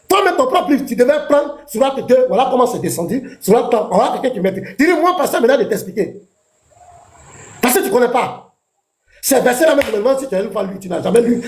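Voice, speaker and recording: A man's voice: high voice, a little mellow. A man, a pastor in a heated live debate, taunting his opponent with combative, repeated jabs. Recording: echoey room.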